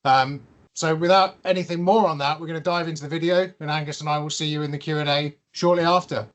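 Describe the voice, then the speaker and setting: Friendly brisk male co-host. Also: a little high, steady tone, a bit bright. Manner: brisk, friendly, practical with fillers. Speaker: webinar co-host and interviewer. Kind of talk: presenting and interviewing.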